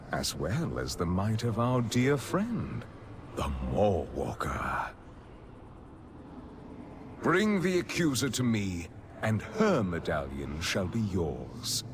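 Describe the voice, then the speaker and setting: A man's voice: fairly low pitch, sing-song, slow, noisy background. A man playing a grandiose, manipulative aristocratic vampire master in a video game, speaking in a pompous, silky, theatrical manner. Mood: commanding. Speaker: ruler addressing subjects. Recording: dramatic orchestral music behind.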